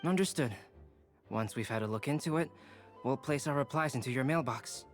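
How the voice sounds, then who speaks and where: A man playing a calm, analytical young alchemist in a game cutscene, polite and formal. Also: mid-range voice, moderate pace, faint music behind, lightly acted. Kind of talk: video game cutscene acting.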